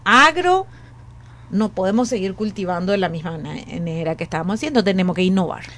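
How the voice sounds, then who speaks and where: A woman's voice: medium pitch, lively intonation, fairly quick, fairly bright tone. A woman in her late forties with a Paraguayan Spanish accent, a guest in an interview who speaks earnestly, repeating and restarting phrases as she thinks aloud. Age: 40s. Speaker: education academic, guest. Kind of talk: conversational interview.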